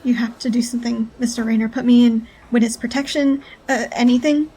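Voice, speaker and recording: A high-voiced, earnest woman whistleblower. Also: flat delivery, fairly quick, warm tone, breathy edge, poor audio. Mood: frightened, pleading. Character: frightened, hunted female whistleblower, earnest and urgent. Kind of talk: audio drama dialogue.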